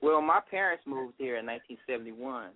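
A middle-aged man from Washington, D.C., a guest musician telling his story in a relaxed, rambling way. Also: medium pitch, fairly quick. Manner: relaxed, rambling, many fillers. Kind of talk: casual interview. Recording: phone-like line.

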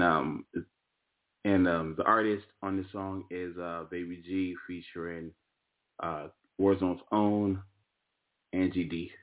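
A man hosting an internet radio show in a relaxed, rambling way, his voice hoarse as he recovers from losing it. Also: low voice. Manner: relaxed, rambling, with many fillers. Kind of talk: casual internet radio talk. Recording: tinny phone-line audio.

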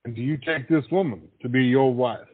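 An actor playing a dignified officiant at a colonial wedding, speaking formally in a period comedy audio drama. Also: male voice, mid-range voice, fairly quick, slightly rough, lightly acted. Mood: solemn. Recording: muffled lo-fi audio, music underneath.

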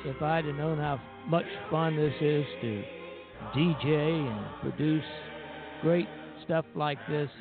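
Gravelly older man on a tinny line. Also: on the high side, slow. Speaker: radio show producer and co-host. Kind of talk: casual chat. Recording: tinny phone line with music behind.

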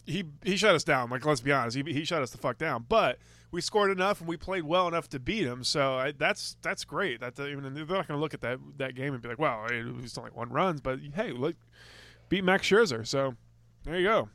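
A man's voice: a little high, expressive, brisk, sharp tone, no echo. Rambling, swearing male podcast host. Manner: rambling, swears, many restarts. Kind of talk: casual sports chat.

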